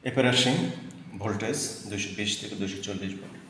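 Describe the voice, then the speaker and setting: A man with a fairly low voice walks through product specifications in an instructional way, repeating key points for emphasis. He is recorded in a very echoey room with long reverb and a faint layer of noise. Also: steady tone, fast, fairly bright tone. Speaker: product presenter. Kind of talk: product demonstration, explaining specifications.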